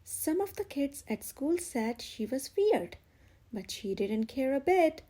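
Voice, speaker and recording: A woman reading a children's picture book aloud as an expressive, dramatic storyteller. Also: high voice, slow pace.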